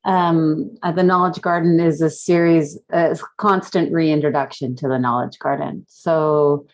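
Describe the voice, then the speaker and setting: Woman, slightly low pitch, casual panel guest, reflective, halting phrasing, searching for words. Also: fairly even intonation. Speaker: art gallery director and curator, guest speaker.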